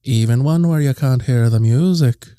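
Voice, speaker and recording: A performer playing a companionable, easygoing editor who teases gently in an audio drama. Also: mid-range voice, normal speed, clear, resonant tone, dry recording, studio quality, natural voice. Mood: teasing, fond. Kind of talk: scripted audio drama dialogue.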